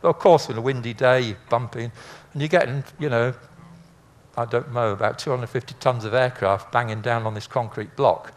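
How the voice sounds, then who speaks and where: A man's voice: medium pitch, steady tone, brisk. An older man giving an informal illustrated lecture in a chatty, rambling, anecdotal way with dry humour. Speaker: speaker, former air traffic controller.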